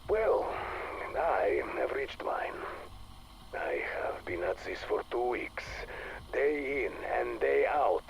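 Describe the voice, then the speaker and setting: A worn-out interrogator henchman in a game audio log, defensive and fed up. The voice sits at a middle pitch, with faint hiss on the recording. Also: sing-song, slow pace, gravelly, long pauses, exaggerated voice. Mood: weary. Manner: weary, defensive. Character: exhausted, exasperated male interrogator henchman.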